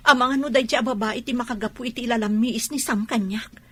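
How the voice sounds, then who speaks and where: A woman's voice: a little high, brisk, husky. A woman playing an earnest, defiant young wife protesting her innocence in a radio drama, with a Filipino accent. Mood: anxious, protesting.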